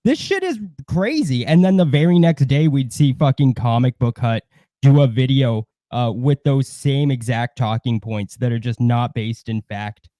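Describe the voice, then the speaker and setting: A man in casual livestream chat, blunt and disdainful, swearing heavily and haltingly circling back over his points. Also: medium pitch, animated, mellow, dry recording, studio quality. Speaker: co-host of a livestream.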